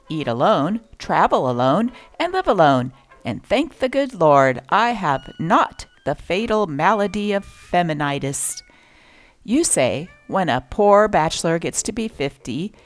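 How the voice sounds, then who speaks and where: A woman hosting a show that reads aloud from old newspapers, with an expressive, varied delivery. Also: a little slow, soft background music. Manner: expressive reading with lively pitch. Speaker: channel host reading old newspapers.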